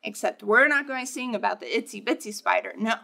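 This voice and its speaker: A young American woman, a children's librarian, leading storytime in an animated, encouraging way, talking directly to small children. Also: fairly high pitch, quick pace, gravelly. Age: young adult. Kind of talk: children's storytime presentation and read-aloud. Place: indoors in a library, seated before bookshelves.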